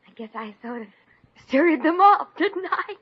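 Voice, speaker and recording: An actress playing a meek, naive young woman, timid and hesitant with a breathy voice, in 1950s radio comedy style. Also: high-pitched, lively intonation, unhurried, no room sound. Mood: bashful. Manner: timid, hesitant, gushing softly. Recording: muffled lo-fi 1950s radio recording.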